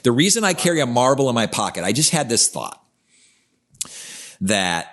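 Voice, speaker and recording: A man's voice: medium pitch, very animated, normal speed, a bit bright. A man in his fifties co-hosting a podcast, talking in an earnest, reflective way in casual conversation. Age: 50s. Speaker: podcast co-host, coach.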